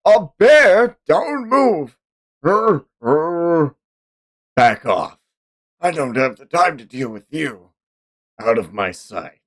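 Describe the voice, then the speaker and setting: A man's voice: a little high, big pitch swings, slow pace, pauses often, pitched above the performer's own voice, exaggerated voice. Man acting out terse, commanding manga hunter. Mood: menacing.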